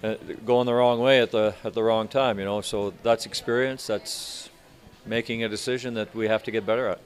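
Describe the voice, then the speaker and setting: An older man in his sixties, a hockey coach answering reporters after a game, speaking in a measured, halting way with many restarts. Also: on the low side, steady tone. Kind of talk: postgame press interview. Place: arena media room in front of sponsor backdrop.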